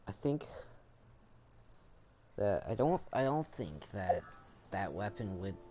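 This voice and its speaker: A man's voice: lowish voice, big pitch swings, slow, highs cut off. A man giving casual gameplay commentary, rambling as he thinks aloud to himself. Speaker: video game streamer.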